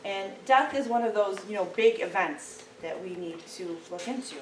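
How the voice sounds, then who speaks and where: A soft-spoken woman giving a friendly, chatty talk as a guest lecturer at a meeting. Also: medium pitch, moderate pace, a little hiss or hum. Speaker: guest speaker, local historian. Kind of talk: public lecture. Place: meeting room. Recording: echoey room, mic from far off.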